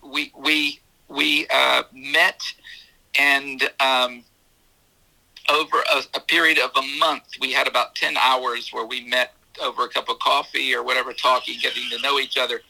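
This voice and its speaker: A middle-aged man tells his story to an interviewer in a gravelly voice. His tone is bright, and he stops and restarts often. It sounds like a radio broadcast. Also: mid-range voice, little pitch movement, rough recording. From United States. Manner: halting, many fillers and restarts. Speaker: guest, ministry leader. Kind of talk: testimony interview.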